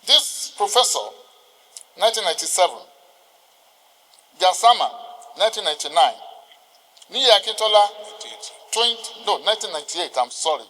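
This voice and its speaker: An older man with a Nigerian accent gives a formal ceremonial speech, exhorting his listeners deliberately with emphatic pauses. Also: high voice, sing-song, bright, forceful tone. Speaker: senior lawyer addressing a ceremony. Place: large indoor courtroom. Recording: reverberant hall with long echo.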